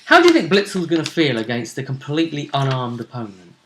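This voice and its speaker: A man's voice: mid-range voice, big pitch swings, fast talker, a little hiss or hum. A man hosting a role-play vidcast, talking casually through previews of upcoming matches.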